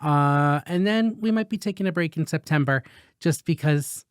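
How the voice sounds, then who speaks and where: A man's voice: a little high, moderate pace, clear, resonant tone, dry recording, studio quality. A young man hosting a casual podcast, chatty and upbeat, rambling from one update to the next. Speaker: host.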